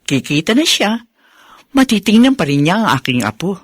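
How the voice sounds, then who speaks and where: A woman playing a doting, easily worried middle-aged mother and grandmother in a Filipino radio drama, with expressive, exclaiming delivery. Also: mid-range voice, normal speed, husky, no echo. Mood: musing. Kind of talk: Filipino radio melodrama acting.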